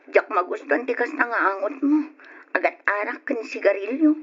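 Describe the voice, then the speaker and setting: Young expectant wife for radio play: patient, dutiful, slightly husky, on dull lo-fi recording. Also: female voice, a little high, lively intonation, moderate pace. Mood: sleepy, mildly reproachful. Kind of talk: old radio drama acting in Ilocano.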